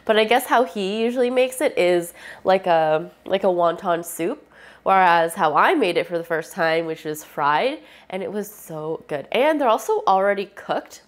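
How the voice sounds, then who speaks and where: A woman's voice: medium pitch, expressive, moderate pace. A young woman vlogging in a casual, rambling way, with a stuffy, congested voice from a cold. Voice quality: stuffy, nasal. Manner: chatty, rambling with many fillers. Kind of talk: casual vlog chat.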